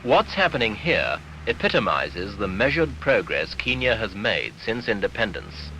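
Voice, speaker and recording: A man narrating a 1970s documentary newsreel in a formal, measured, authoritative way, on an archival soundtrack. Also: on the low side, big pitch swings, bright, forceful tone, slight background noise, rough recording.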